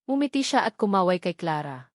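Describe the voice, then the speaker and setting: A woman's voice: on the low side, sing-song, brisk, no echo. Woman narrating a dramatic story, dry recording. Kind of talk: dramatic scripted first-person story narration.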